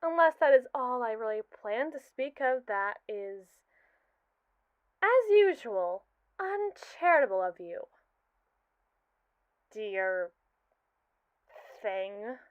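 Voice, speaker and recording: A young woman voicing a haughty, scheming captive robot AI in a scripted roleplay, speaking in an arch, mocking and wordy way with slow, drawn-out emphasis. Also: a little high, big pitch swings, no echo, narrow, tinny audio. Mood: sardonic. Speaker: ASMR roleplay performer. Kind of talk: scripted ASMR roleplay monologue.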